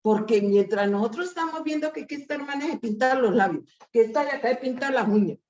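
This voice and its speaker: A woman in a church group speaking in an earnest, deliberate way. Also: on the high side, lively intonation, a little mellow. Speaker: church member. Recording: distant echoey room, muffled.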